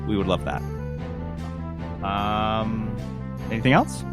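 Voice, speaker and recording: A man's voice: on the low side, takes it slowly, loud music behind the voice. A man chatting casually as a gaming podcast host, with loose, irreverent, sweary talk. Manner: profane, irreverent.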